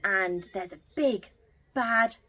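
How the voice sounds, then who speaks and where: A woman telling a story to young children as an entertainer, theatrical and expressive. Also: mid-range voice, slow.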